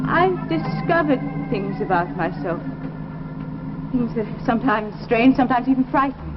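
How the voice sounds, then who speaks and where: Troubled wife, high voice, halting and earnest, 1950s radio drama, dull hissy vintage recording. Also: female voice. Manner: earnest, halting, repeats phrases for emphasis. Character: troubled wife secretly turning superhuman, earnest and hushed.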